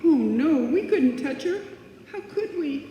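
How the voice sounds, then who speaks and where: A woman's voice: sits high, animated, measured pace, warm tone, highs cut off. A woman acting a timid, shaken and plaintive character in an old time radio suspense play. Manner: faltering, plaintive. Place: live stage performance in a hall. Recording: very echoey live hall.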